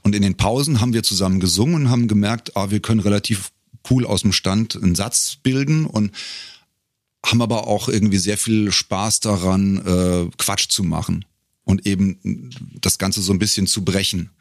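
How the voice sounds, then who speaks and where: Deep, creaky middle-aged man. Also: fairly even intonation, moderate pace, no room sound, clean studio sound. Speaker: podcast guest, singer and professional voice-over speaker. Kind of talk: casual conversational storytelling.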